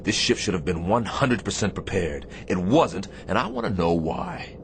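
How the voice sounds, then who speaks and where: Deep-voiced stern Navy captain. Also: male voice, lively intonation. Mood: angry, scolding. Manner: commanding, sardonic, deliberate phrasing. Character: stern, demanding but caring male Navy destroyer captain. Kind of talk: radio theatre acting. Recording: clear background noise, shipboard ambience.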